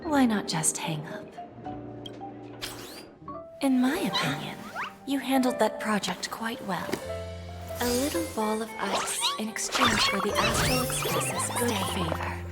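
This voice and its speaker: Game role: poised female mentor-boss, breathy, coaxing, calculating, unhurried, over music. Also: fairly high pitch, huge pitch swings. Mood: coaxing, intimate. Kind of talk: video game voice acting. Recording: background music behind voice.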